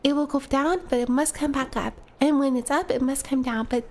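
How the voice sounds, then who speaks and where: A woman's voice: high voice, steady tone, a little fast. A woman streaming a game, talking casually to her viewers while stuffy from a cold. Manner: chatty, talks to viewers between game lines. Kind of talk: casual live-stream chat.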